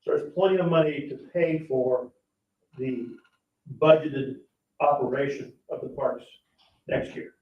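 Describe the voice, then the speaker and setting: A man speaking as an official at a public meeting, explaining finances in a halting, plain-spoken way and often checking that listeners follow. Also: on the high side. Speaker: parks board official presenting the budget. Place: indoor public board meeting room. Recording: echoey meeting room, distant dull mic.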